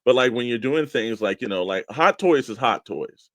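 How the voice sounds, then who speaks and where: A man's voice: medium pitch, expressive, moderate pace, dry recording. A man co-hosting a talk show, chatting casually and putting questions to his partner. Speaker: co-host of a toy collecting show.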